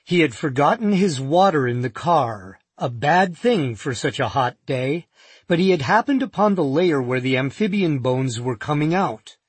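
A man narrating a first-person nonfiction audiobook in a measured, vivid storytelling manner. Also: on the high side, lively intonation, breathy edge, no echo.

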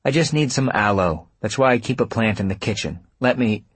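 Man voicing a defensive young woman, pitched up. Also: animated, a little fast, husky, no echo. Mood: impatient, snappish. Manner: impatient, clipped, defensive. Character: busy, defensive, snappish young woman running a restaurant.

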